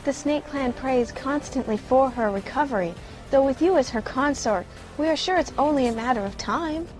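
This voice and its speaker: A woman's voice: high voice, moderate pace, close to an everyday voice. A woman playing a devout, deferential clan queen in a fantasy audio drama, speaking humbly and reverently. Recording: loud music under the voice.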